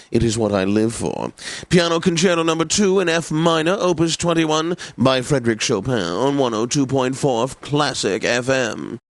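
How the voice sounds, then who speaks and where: A man's voice: a little high, no room sound. An actor playing a pompous, suave and lecherous male classical radio DJ in a comedic video game, announcing with theatrical relish. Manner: pompous, theatrical, savouring words.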